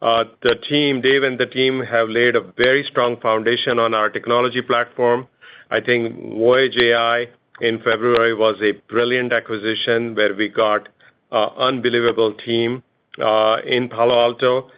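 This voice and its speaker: A man's voice: medium pitch, little pitch movement, moderate pace, smooth. Man executive on a muffled call. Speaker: company chief executive answering analysts. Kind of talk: earnings call remarks. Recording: dull lo-fi conference call.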